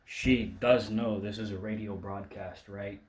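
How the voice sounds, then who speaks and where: A man's voice: lowish voice, fairly even intonation, unhurried, lightly acted. Echoey man as a wisecracking young errand boy. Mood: wry, sarcastic. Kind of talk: 1940s radio comedy play acting. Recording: echoey room with noise and clicks.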